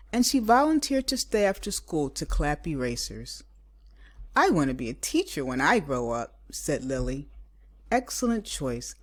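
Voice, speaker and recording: A woman with a low voice reads a picture book aloud in the manner of a teacher. She stresses key words deliberately and reads expressively. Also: darkish tone. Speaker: teacher reading a children's story.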